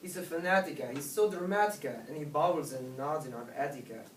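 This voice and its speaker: A man voicing a laid-back chihuahua who leads his little gang in a storybook, with drawn-out, rhyming lines. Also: a little high, close to an everyday voice. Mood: confiding. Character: laid-back male chihuahua gang leader, biggest of the small ones. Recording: roomy, distant, noisy recording.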